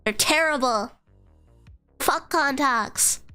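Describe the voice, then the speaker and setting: A woman's voice: sits high, takes it slowly, sharp tone, faint music behind. A young woman streamer with a nasal voice and a stuffy cold, rambling casually, swearing freely and trailing into fillers. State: congested from a cold.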